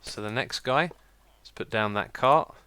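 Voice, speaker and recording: A British man giving casual, chatty gameplay commentary as a let's play host, with a slightly croaky voice and a self-mocking manner. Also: medium pitch, a little slow, bright, forceful tone, dry recording. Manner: chatty, self-mocking, laughs at own slips.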